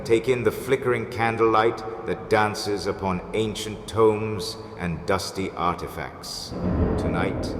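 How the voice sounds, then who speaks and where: Casting: male actor as mysterious haunted-house host, low voice, formal theatrical delivery, heard in a long reverberant hall. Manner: formal, ornate, dramatic. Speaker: host and storyteller. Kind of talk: theatrical gothic storytelling, scripted. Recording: echoey hall reverb with background noise.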